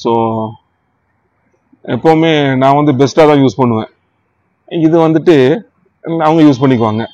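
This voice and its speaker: A man's voice: mid-range voice, normal speed, long pauses, mellow, no room sound, highs cut off. A man with a Tamil Nadu accent chatting casually as he reviews gear, mixing English words into his talk. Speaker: motorcycle vlogger reviewing gear. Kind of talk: casual product review chat.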